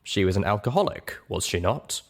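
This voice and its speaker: Man as a low-voiced, calm pony doctor. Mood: matter-of-fact. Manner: measured, matter-of-fact, patient. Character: calm, frank pony doctor giving grave medical advice. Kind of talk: dramatized audiobook character voice.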